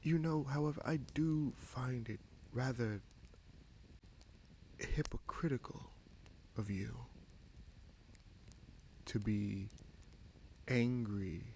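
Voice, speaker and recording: Man acting a gravelly, menacing bastard prince. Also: medium pitch, a little mellow, a little hiss or hum, low-quality recording. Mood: cold accusing. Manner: slow, deliberate, taunting, with pointed pauses. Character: scheming bastard prince, sorcerer, smug and coolly menacing. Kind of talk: fantasy audio roleplay, dramatic monologue addressed to listener.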